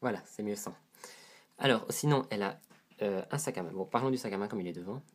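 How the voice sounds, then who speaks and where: Low husky man with a cold. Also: fast talker. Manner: hesitant, describes details while handling a doll. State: head cold, congested nose. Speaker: doll reviewer. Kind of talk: casual product review to camera.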